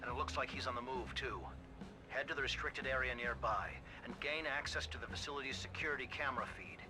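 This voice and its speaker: A man playing a composed, middle-aged spy team leader giving measured, businesslike mission briefings in a video game. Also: medium pitch, steady tone, natural voice. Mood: instructing. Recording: loud music behind the voice. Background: loud music.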